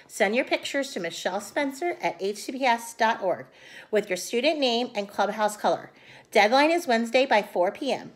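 A woman in her thirties reading a picture book aloud to children like a teacher, in an expressive way. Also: a little high. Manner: expressive, addressing young children. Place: small room at home, indoors.

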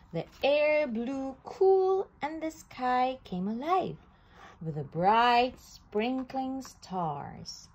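A woman reading a children's picture book aloud, slowly and with animated delivery. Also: high voice, slightly rough, low-quality recording. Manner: slow, animated storytelling with occasional restarts. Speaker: storybook reader.

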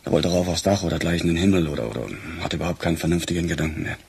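An actor playing a weary, grumbling police chief with a husky voice in a radio crime drama. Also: male voice, low-pitched, steady tone, rapid-fire, darkish tone, slight background noise. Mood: weary, subdued.

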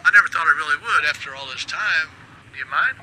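An actor playing a wry male radio producer making quick asides to the host. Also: on the high side, bright, forceful tone, slight background noise, rough recording. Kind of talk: video game voice acting.

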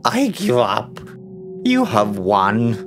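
Self-righteous greedy farmer for a spooky radio tale, man putting it on, whiny, raised pitch. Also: wildly swooping pitch, extremely slow, music in the background, exaggerated voice. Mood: defeated. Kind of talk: audio drama storytelling.

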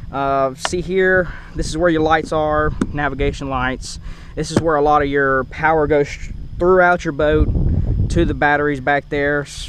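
A man's voice: a little high, noisy background. A man hosting a casual, unscripted video tour, talking into a head-worn action camera outdoors. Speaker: host of a fishing boat tour video. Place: outdoors in a parking lot beside a lake.

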